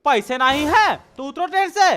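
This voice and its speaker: A man performs an officious train ticket inspector for a cartoon. The voice is high and forceful, curt and commanding. Faint music sits behind the impatient orders. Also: lively intonation, moderate pace. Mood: stern. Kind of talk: exaggerated cartoon dialogue.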